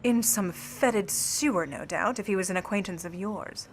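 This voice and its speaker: A woman's voice: medium pitch, moderate pace, husky, lightly acted. A woman as a cool, haughty scheming starlet. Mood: cool disdain. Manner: haughty, controlled, cutting formal delivery. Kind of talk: old-time noir radio play acting.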